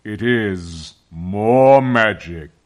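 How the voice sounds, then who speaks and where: A man performs a great majestic lion from a storybook, wise and gentle. The voice is low-pitched and weighty. Each phrase comes slowly and solemnly, with strong emphasis. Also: animated. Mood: grave, commanding. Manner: slow, solemn, emphatic delivery. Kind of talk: storybook character voice.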